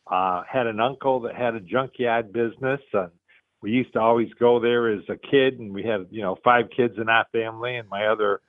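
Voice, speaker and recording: A middle-aged man with a Boston accent, a guest telling stories in a relaxed, rambling way with many fillers. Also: mid-range voice, brisk, no echo. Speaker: guest, car museum founder. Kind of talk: casual interview storytelling. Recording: tinny phone line.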